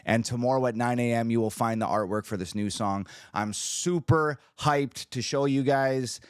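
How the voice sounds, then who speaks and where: A man hosting his own livestream, a musician chatting casually with viewers, upbeat and hyped, his voice a little raspy from screaming the night before. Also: medium pitch, moderate pace, no room sound, clean studio sound. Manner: upbeat, hyped, talks to the chat.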